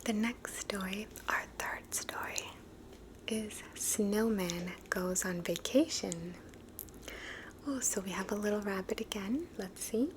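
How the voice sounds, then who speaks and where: A woman reading children's stories aloud in a soft, unvoiced ASMR whisper. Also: mid-range voice, takes it slowly, background noise. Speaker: storybook reader.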